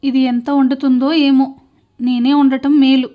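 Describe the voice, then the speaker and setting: A woman's voice: high voice, flat delivery, measured pace, highs cut off. A woman voicing a stingy, bossy middle-aged mother-in-law in a cartoon, with a scolding, complaining manner. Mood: grudging.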